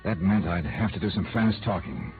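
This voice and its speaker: An actor playing a wry, hard-boiled private investigator in a radio noir, tough, wisecracking and clipped. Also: male voice, low-pitched, moderate pace, close to an everyday voice. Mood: hard-boiled narrating. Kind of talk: old-time radio noir acting and first-person narration. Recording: narrow-band vintage radio recording with music.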